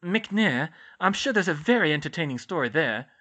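A woman's voice: mid-range voice, lively intonation, a little fast, a bit bright, voice pushed up. A woman voicing a strict but caring, sardonic female school healer in a storybook. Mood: sarcastic.

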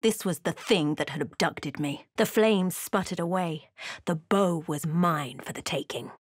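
A woman voicing a solemn adventurer who narrates a fantasy game journey in a measured storytelling manner. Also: fairly low pitch, dry recording, studio quality.

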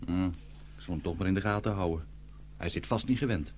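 A man's voice: sits low, a little fast, darkish tone, a little hiss or hum, close to an everyday voice. A man playing a shrewd, plain-spoken runaway soldier in a dramatised fairy tale, with a blunt, folksy manner. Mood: wary.

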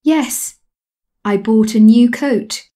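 Slow, mellow woman repeating drill lines. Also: fairly high pitch. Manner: calm, measured repetition of phrases. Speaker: English lesson voice. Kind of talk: slow scripted language drill.

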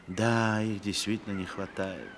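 An actor playing a smug, respectable elderly mafia boss with unctuous, mocking courtesy in a 1960s Soviet radio drama. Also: male voice, fairly low pitch, steady tone, fairly bright tone. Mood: mock-polite. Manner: unctuous, mocking courtesy, drawn-out phrases with chuckles. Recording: old radio recording with background noise.

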